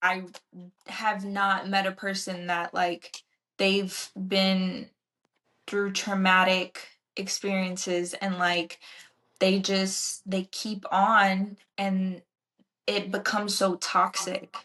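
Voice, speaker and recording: A woman's voice: medium pitch, completely flat delivery, extremely slow, fairly bright tone. A woman in her twenties co-hosting a casual podcast, chatty and supportive, filling her speech with "like" and "you know". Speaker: podcast co-host. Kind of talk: casual chat.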